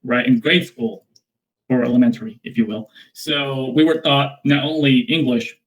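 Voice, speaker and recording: A man from the Philippines with a Filipino accent, giving measured interview answers full of repeats and restarts. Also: medium pitch, fairly even intonation, poor audio. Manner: measured, repetitive, leans on "basically" and restarts.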